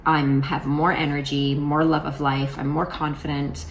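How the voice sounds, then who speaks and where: A woman in her forties chats to her camera in a loose, rambling way, full of fillers and restarts. Her voice sits low and carries a gravelly rasp. A faint hum of the room runs behind her. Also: level tone, normal speed. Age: 40s. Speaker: vlogger. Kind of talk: casual vlog talk to camera.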